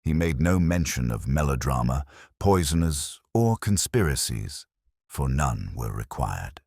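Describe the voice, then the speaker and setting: A man's voice: rumbling low, very animated, a little slow, a little mellow, breathy edge, dry recording, studio quality. A man narrating a mystery story in a wry, stately manner. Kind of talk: scripted audiobook narration.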